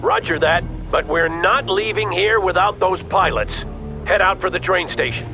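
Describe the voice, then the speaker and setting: An actor playing a stern, decisive army officer giving clipped, authoritative orders in a video game. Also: male voice, high voice, normal speed, sharp tone, soft background music. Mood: brisk, commanding. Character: stern commanding male army officer, decisive battlefield leader. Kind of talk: video game radio-briefing acting.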